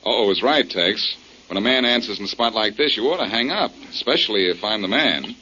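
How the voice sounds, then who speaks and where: An actor playing a wry, needling, confident amateur detective in a 1940s radio drama, with a sardonic, probing way of talking. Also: male voice, lowish voice, expressive, a little fast, natural voice. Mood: hard, challenging. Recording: dull 1940s radio recording with hiss.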